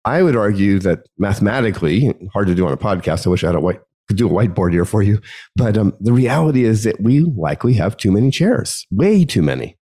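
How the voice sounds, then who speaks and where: A man hosting his own podcast alone, talking through a topic in a relaxed conversational way with many ums and restarts, his voice gravelly from a cold. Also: on the low side, quick pace. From United States. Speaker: podcast host. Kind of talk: solo podcast monologue.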